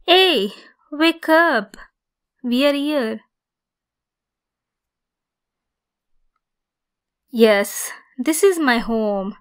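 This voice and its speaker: A woman playing a possessive centaur captor, bossy yet caring, in an ASMR roleplay monologue with a deliberate, teasing delivery. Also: high-pitched, expressive, a bit bright, clean tone, full character voice. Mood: softened, wry.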